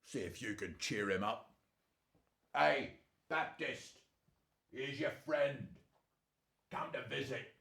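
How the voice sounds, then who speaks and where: An actor playing a gruff but offhandedly friendly jailer in a radio play, with a casual way of talking. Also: male voice, mid-range voice, lively intonation, slow, long pauses, fairly bright tone, heavily acted. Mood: offhand, cheery.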